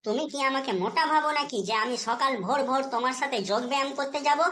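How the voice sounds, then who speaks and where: A woman voicing a nagging, suspicious housewife in a comedy cartoon, scolding and sarcastic, quick to take offence. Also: sits high, a bit bright. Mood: irritated, offended.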